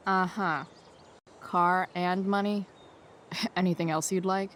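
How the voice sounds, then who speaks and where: A rebellious teenage girl in a video game story. Her voice is a little low, with dry sarcasm and an offhand delivery. Noise is audible in the background. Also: steady tone, slow pace, fairly bright tone. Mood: dry amusement. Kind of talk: naturalistic video game acting.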